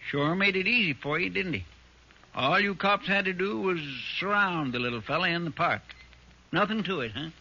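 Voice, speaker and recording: An American actor playing a nosy, mocking bystander with a folksy, needling manner in a 1940s radio drama. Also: male voice, high-pitched, expressive, normal speed, sharp tone, exaggerated voice. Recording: dull vintage radio recording, faint noise.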